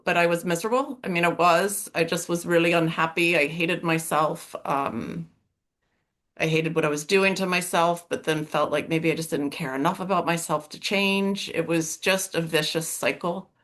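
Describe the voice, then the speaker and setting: A middle-aged woman with a somewhat low voice and an American-sounding accent talks openly on a video call. She speaks haltingly, stopping and restarting often and leaning on fillers. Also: a bit bright. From Northern Ireland. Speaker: coaching client. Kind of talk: candid interview.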